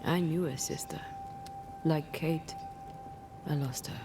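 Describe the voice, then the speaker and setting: Guarded woman ghost hunter, video game drama, talks slow n hushed, bit of fry, music under it. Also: deep voice, steady tone, full character voice. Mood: wistful, sorrowful.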